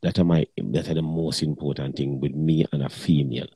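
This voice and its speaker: A middle-aged man with a very deep, dark, husky voice talks bluntly and crudely in patois. The sound is dry and close. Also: fairly even intonation, a little fast, no room sound. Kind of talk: casual chat.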